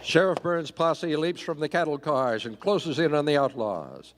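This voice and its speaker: A man narrates a Western radio play in dramatic, scripted style. His voice sits a bit high and carries through a reverberant hall. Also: expressive, normal speed, noisy background. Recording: echoey hall, live stage performance.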